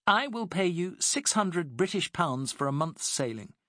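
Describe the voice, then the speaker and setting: A man voicing a poised British woman working as a secret agent, speaking crisply and persuasively. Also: high-pitched, very animated, unhurried, fairly bright tone, no echo, clean studio sound, voice pushed up, full character voice.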